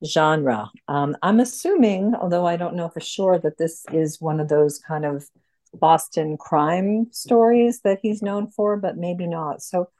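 A woman's voice: lowish voice, a little slow, mellow. A woman leading a casual book group discussion as its host.

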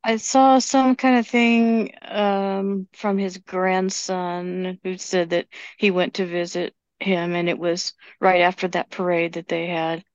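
A woman's voice: on the low side, flat delivery, slow, hardly any pauses, no echo. A woman taking part in a casual group discussion about books. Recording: dull, lo-fi audio.

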